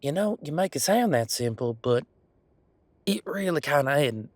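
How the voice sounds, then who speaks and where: A man's voice: fairly high pitch, expressive, moderate pace, no room sound, voice pushed up. A man voicing a folksy, earnest young head of security who talks hesitantly and rambles in an audio roleplay drama. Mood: flustered.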